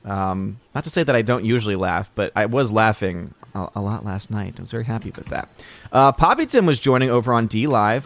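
A man's voice: on the low side, no room sound. A man hosting a casual livestream, greeting viewers in the chat by name with playful hails. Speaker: livestream host.

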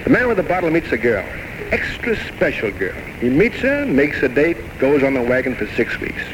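A man's voice: mid-range voice, big pitch swings, moderate pace, lightly acted. An actor playing a tormented, grandiose alcoholic writer in his thirties, rambling theatrically and bitterly mocking himself in an old radio drama. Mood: dreamy, boastful. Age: 30s. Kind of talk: 1940s-1950s radio drama acting. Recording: noisy old radio broadcast recording.

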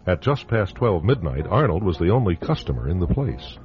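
Deep theatrical radio host. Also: male voice, big pitch swings. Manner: theatrical, knowing, with dramatic pauses. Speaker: host narrator. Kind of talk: radio drama host narration. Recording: dull old radio recording with music.

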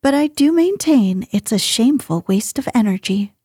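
A woman's voice: fairly high pitch, lively intonation, normal speed, dark tone, breathy edge, no room sound, studio quality, pitched above the performer's own voice. Woman reading as a cheeky teenage girl sleuth. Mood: playful. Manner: playful and teasing.

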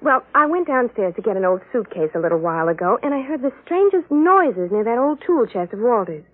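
Sweet nervous wife, animated intonation, solicitous, vintage radio drama, dry tinny sound. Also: female voice, on the high side, a little fast, no echo. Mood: uneasy. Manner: fluttery, gushing, solicitous. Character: sweet, trusting, easily frightened wife. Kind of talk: classic radio drama acting. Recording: tinny old radio recording.

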